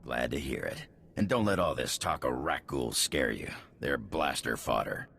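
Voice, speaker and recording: An actor playing a gruff, scornful mercenary boss in a video game, sneering and blunt. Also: male voice, extremely low pitch, animated, moderate pace, a bit bright, slight background noise, heavily acted. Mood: gruffly approving. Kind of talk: video game character acting.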